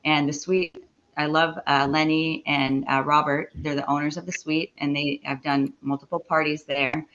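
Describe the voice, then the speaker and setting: Bright-voiced woman, halting and full of fillers. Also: low voice, flat delivery, highs cut off. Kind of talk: casual interview.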